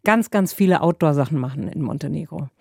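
A woman's voice: sits low, animated, quick pace, gravelly, a little mellow, slightly rough, no room sound, studio quality. A woman working as a travel reporter, telling travel stories in a chatty, rambling way with fillers. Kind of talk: casual storytelling conversation.

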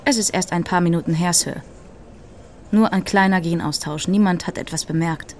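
An actress playing a polite, unbothered female immigration officer in a radio play, speaking calmly. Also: lowish voice, moderate pace, a little hiss or hum, close to an everyday voice. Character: calm female immigration officer, matter-of-fact. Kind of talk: radio drama acting.

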